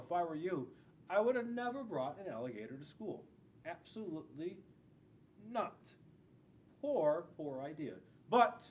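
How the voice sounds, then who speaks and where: A man's voice: fairly high pitch, darkish tone. A middle-aged man, a school police officer, reading a picture book aloud to young children, stressing key words and adding asides. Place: indoors in a school classroom.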